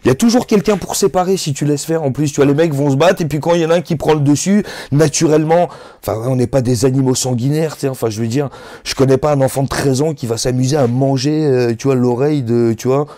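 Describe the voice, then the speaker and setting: A man's voice: medium pitch, fast, warm tone, dry recording, clean studio sound. A man in his thirties, not a native French speaker, chatting loosely and slangily as a rapper guest in a sit-down interview, full of "tu vois" fillers. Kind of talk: casual interview chat.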